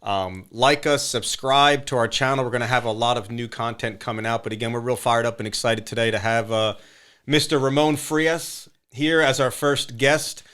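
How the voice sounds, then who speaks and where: A man hosting a podcast, upbeat and relaxed, with many fillers and repeated words. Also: medium pitch, moderate pace, smooth. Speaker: podcast host. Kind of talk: casual interview chat.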